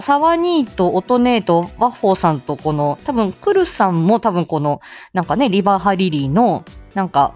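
A woman's voice: lowish voice, darkish tone. A woman hosting a casual solo morning show, chatting to listeners in a hesitant, thinking-aloud way. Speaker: host of a morning audio show.

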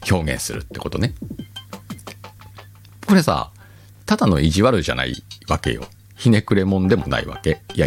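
A man hosting a podcast alone, chatting casually in a rambling, self-mocking way with drawn-out pauses. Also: low-pitched. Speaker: podcast host. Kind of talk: casual solo chat.

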